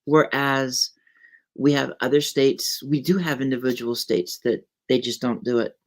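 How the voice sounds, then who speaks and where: A woman from the US West Coast, hosting a podcast and chatting in a relaxed, conversational way. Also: rumbling low, unhurried. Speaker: podcast host. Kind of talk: casual interview chat.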